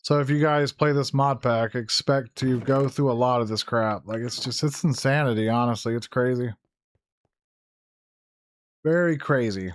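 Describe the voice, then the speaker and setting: A hoarse man who is losing his voice, giving casual gameplay commentary, thinking aloud with fillers and self-corrections. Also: mid-range voice, moderate pace. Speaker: gaming YouTuber. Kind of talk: casual Let's Play commentary.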